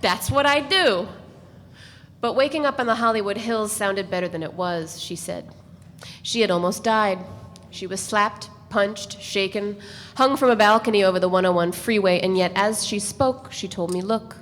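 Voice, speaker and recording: A woman reading the narration of a novel aloud in a measured, reflective way at a live dramatic reading. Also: mid-range voice, a bit bright. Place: large echoey event space. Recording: long hall reverb, faint room noise.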